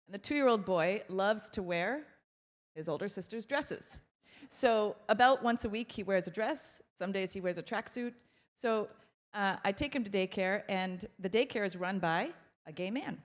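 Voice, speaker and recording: A woman moderating a conference panel, brisk and friendly. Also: mid-range voice, big-room sound.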